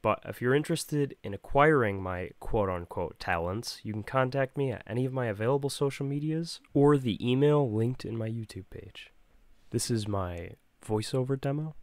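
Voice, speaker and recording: A man's voice: medium pitch, normal speed, darkish tone, no echo. A man doing scripted narration and reading aloud as an amateur voice actor, with a self-deprecating manner. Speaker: amateur voice actor presenting a demo reel.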